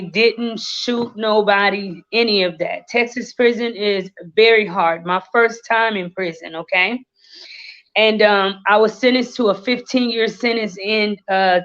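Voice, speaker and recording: A woman in her thirties, born in Haiti, slowly and earnestly telling her life story as a guest, with many fillers. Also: mid-range voice, fairly bright tone. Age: 30s. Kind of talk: livestream interview, life story. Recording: lo-fi livestream audio.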